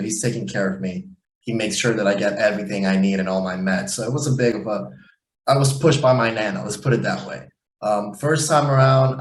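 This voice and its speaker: A young adult man speaking on a video call as a panelist, modest and chatty. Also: fairly low pitch. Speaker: panelist, medical student. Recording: echoey room with faint noise.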